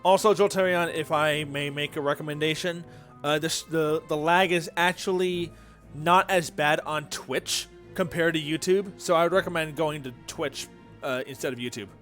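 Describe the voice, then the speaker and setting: A man's voice: a little high, steady tone, moderate pace, fairly bright tone, faint music behind. A man streaming a video game, chatting casually with his viewers in a self-deprecating way. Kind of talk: casual livestream chat while playing.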